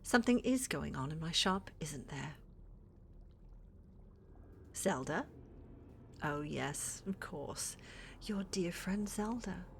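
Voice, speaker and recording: A woman playing a flirty, scheming witch in a fantasy ASMR roleplay, with a breathy, teasing, coaxing delivery that often drops to whispers. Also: lowish voice, lively intonation, takes it slowly, pauses often, slight background noise, exaggerated voice. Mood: gloating, teasing. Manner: teasing, coaxing, sugary endearments with theatrical menace. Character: flirty, scheming witch who runs a potion shop. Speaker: voice actor in audio roleplay.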